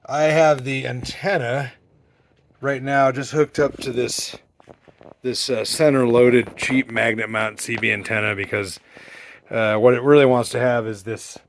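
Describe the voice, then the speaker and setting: A man presenting a casual hands-on demonstration in his garage, talking with dry, wry humor. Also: lowish voice. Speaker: presenter, junkyard parts hobbyist. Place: home garage.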